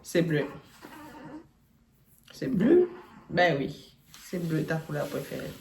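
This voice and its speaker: A woman's voice: sits low, very animated, takes it slowly, gravelly, darkish tone. A woman from Congo chatting and quizzing her partner in a casual game, repeating herself and laughing often. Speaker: channel co-host. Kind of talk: casual quiz game between two people. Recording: echoey room with faint noise.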